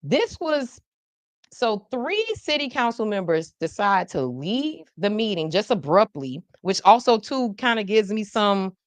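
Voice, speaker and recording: A woman's voice: medium pitch, unhurried, dry recording. A woman hosting a live news podcast, talking casually and playfully to her viewers in the chat. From South Florida. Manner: animated, playful, talks to the chat. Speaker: podcast host.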